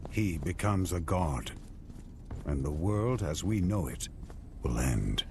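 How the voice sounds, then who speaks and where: An actor playing a resolute, defiant knight in a fantasy video game, speaking in a terse, grave, determined way. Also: male voice, sits low, animated, slow pace, noisy background, heavily acted. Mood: grim resolve.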